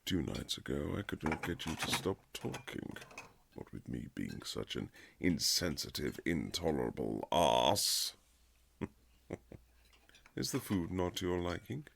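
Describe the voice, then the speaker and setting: Casting: male actor for haughty period-romance husband, bottomless bass with expressive swings, dry teasing formality. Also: gravelly, slight background noise, full character voice. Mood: irritated, stiff. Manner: formal, dry, teasing, measured aristocratic phrasing. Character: reluctant aristocratic young husband, haughty, sardonic gentleman. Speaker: audio roleplay performer. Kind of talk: romantic period-drama ASMR roleplay, scripted.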